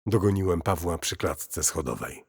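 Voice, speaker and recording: Deep gravelly man narrating in long reverb. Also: animated, moderate pace, darkish tone. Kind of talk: scripted first-person horror audio story narration.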